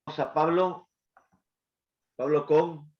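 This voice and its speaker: A man's voice: on the high side, steady tone, takes it slowly. A man hosting and moderating an online event informally. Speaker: host, moderator. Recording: dull lo-fi online stream.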